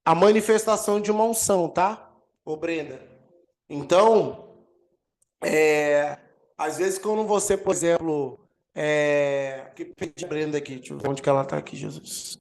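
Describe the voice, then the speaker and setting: A man with a Brazilian accent, an apostle teaching a healing course over a video call, energetic and emphatic as he answers questions. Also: on the high side, a little slow. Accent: Brazilian Portuguese. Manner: energetic preacher, swells and emphasizes key words. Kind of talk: live online teaching with Q&A.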